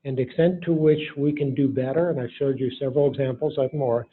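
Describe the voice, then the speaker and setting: A man's voice: mid-range voice, steady tone, fast talker, husky. A man giving a keynote talk and taking audience questions in an informal, folksy way, in short phrases. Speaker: keynote speaker. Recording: muffled lo-fi hall recording.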